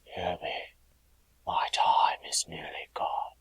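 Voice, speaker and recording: A man playing a mournful, solemn ghost in a radio drama, speaking in halting breathy whispers. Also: a bit bright, dry recording. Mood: grave warning. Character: mournful male ghost of a dead businessman, warning his friend.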